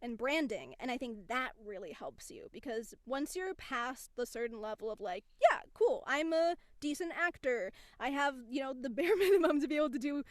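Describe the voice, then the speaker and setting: A woman voice actor and casting director, a podcast guest, explaining her craft in casual, animated talk. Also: high-pitched, normal speed, few pauses, dry recording, clean studio sound. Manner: animated, explains with quoted examples.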